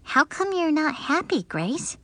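A woman voicing an excitable, bossy first-grade girl who chatters in an eager, earnest way for an audiobook. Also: sits high, unhurried, no echo, voice pushed up, heavily acted. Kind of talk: audiobook character voice.

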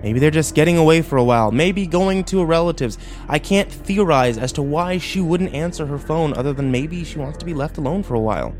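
A man's voice: a little high, animated, brisk, hardly any pauses, a little mellow, voice pushed up, close to an everyday voice. A man playing a middle-aged sheriff and father in a dramatic story, stern, weary, firm and authoritative. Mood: placating, firm. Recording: music behind.

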